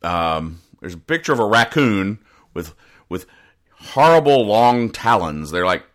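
A man hosting a casual solo podcast, explaining things in a rambling, halting, deadpan way. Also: lowish voice. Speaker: podcast host. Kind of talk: casual solo commentary, explaining comic strips.

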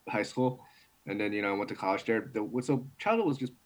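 A young man from New York City, a martial arts instructor and guest, talking in quick run-on sentences with restarts, laughs and blunt swearing. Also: on the low side, big pitch swings. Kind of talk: casual interview.

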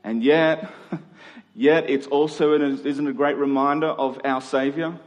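A man's voice: a little high, steady tone, a little slow, lo-fi sound. Male preacher in a reverberant hall. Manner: rhetorical questions, dramatic swings between emphatic and hushed. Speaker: pastor. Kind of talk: sermon to a congregation. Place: large reverberant church hall.

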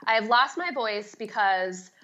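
Woman, middle-aged, bright forceful voice, chatty, self-deprecating, casual interview guest, slightly muffled lo-fi audio. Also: mid-range voice, unhurried. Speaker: guest, home organizing expert.

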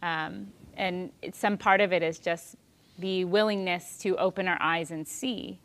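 A woman in her thirties giving a calm, thoughtful spiritual talk on prayer, with soft pauses. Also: lowish voice.

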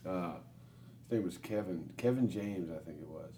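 A man's voice: sits low, normal speed, warm tone. A man hosting a casual podcast, loose and profane, asking curious questions. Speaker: podcast host.

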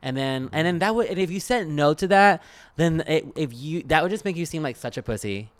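A man's voice: on the high side, expressive, fairly quick, no room sound. A man chatting casually as a recap co-host, sassy and freely swearing, with slangy phrasing and bits of acted-out dialogue. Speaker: podcast co-host. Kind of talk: casual recap chat about a TV show.

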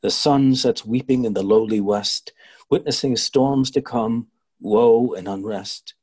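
A man reading a loyal, mournful male lord in a play reading, with a husky voice. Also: medium pitch, a little slow, darkish tone.

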